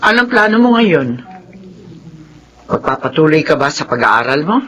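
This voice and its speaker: An actor playing an exasperated, reproachful family member in a Filipino radio drama. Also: male voice, a little high, big pitch swings, normal speed, music in the background. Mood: questioning. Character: exasperated, reproachful relative scolding a wayward man.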